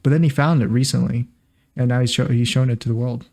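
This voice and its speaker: Mellow-voiced man, joking podcast host. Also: medium pitch, steady tone, quick pace. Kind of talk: casual comedic chat.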